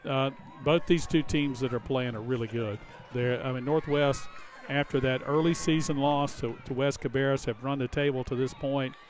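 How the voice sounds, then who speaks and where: A man's voice: medium pitch, fairly even intonation, moderate pace, few pauses. A man giving live analytical commentary on a football game, explaining plays in a conversational way. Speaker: color commentator.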